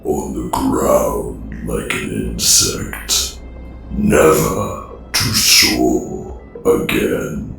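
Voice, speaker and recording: Giant robot overlord villain, super deep n slow, grandiose, huge echo w music under it. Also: male voice, vocal fry, a bit bright, full character voice. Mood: commanding, scornful. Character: giant ancient robotic male villain offering dark salvation. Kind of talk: audio drama acting.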